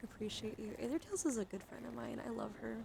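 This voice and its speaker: Creaky, soft-spoken woman doing ASMR. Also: on the high side, lively intonation, quick pace, background noise. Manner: soothing, drifting between whispers and soft speech; affectionate toward listeners. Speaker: live streamer hosting ASMR. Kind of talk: ASMR, soft intimate chat with live viewers.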